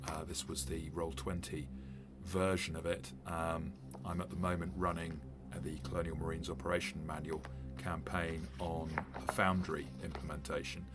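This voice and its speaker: A man's voice: deep voice, level tone, moderate pace, hardly any pauses, over music. A man who runs tabletop games, giving a casual, rambling commentary while unboxing a game set, with many fillers and restarts. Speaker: game master and reviewer.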